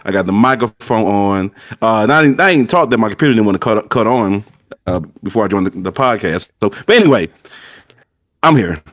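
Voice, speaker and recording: Male co-host, low-pitched, relaxed and rambling, speaking through a gaming headset. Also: a little fast, dry recording, studio quality. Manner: laid-back, rambling. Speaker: stream co-host. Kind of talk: casual storytelling chat.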